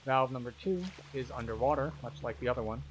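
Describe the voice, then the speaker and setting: A man's voice: mid-range voice, fast, slight background noise. A man giving methodical, instructional commentary as the tour guide of a video game walkthrough. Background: game music.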